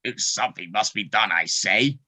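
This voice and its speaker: Deep gravelly indignant animal. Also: female voice, lively intonation, normal speed, bright, forceful tone, exaggerated voice. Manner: indignant, theatrical. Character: gruff, indignant, complaining countryside animal parent. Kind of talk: amateur audio play acting.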